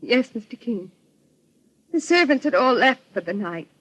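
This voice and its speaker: Husky, anxious bereaved daughter. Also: female voice, a little high, very animated, moderate pace, no echo, poor audio. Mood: earnest, troubled. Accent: American. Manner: earnest, polite, dramatic. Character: anxious, bereaved, well-bred daughter of a murdered man. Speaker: radio actress. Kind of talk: old-time radio melodrama acting.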